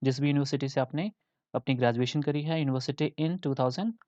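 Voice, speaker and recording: A man's voice: medium pitch, quick pace, darkish tone, no echo, muffled recording. A young man with an Indian accent teaching an English lesson in Hindi mixed with English, keeps checking in with listeners.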